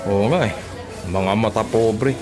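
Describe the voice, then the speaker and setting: A man's voice: lowish voice, slow, over music. A man acting a teasing, streetwise market butcher in a Filipino radio drama, with colloquial, knowing banter. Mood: cynical, knowing.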